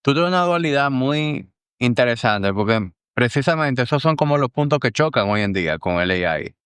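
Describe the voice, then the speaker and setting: Relaxed Dominican podcast host. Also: male voice, mid-range voice, normal speed, clean tone, dry recording, studio quality. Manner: relaxed, slangy, conversational. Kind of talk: casual interview chat.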